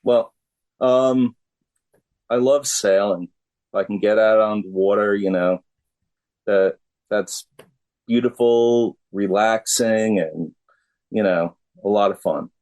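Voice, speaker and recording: A middle-aged man answering as a musician guest in a casual interview, laid-back, halting and plain-spoken. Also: fairly low pitch, steady tone, darkish tone.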